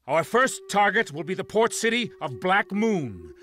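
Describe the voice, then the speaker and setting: A man's voice: high-pitched, very animated, moderate pace, a bit bright. A man playing a resolute clan lord in a video game, giving orders in a commanding, declamatory way. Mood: resolute, rousing. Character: resolute male clan lord and fleet leader. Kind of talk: dramatic game cutscene acting.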